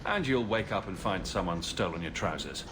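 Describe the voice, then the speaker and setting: An actor playing a joking, easygoing adventurer hero in a video game, with a wry, glib manner. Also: male voice, low voice, lively intonation, normal speed, background noise. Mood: teasing.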